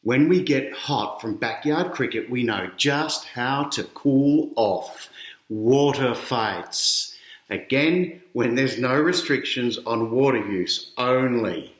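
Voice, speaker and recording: A man's voice: medium pitch, slow pace. A middle-aged Australian man reading a picture book aloud to children, chatting to his young listeners along the way. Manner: addresses children directly with questions and explanations. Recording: roomy, echoey indoor sound.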